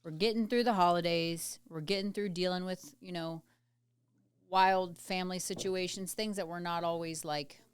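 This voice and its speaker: Late-30s woman, podcast co-host, animated casual chat, smooth low-ish voice, dry close mic. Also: normal speed, no echo. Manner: animated, chatty, swears casually.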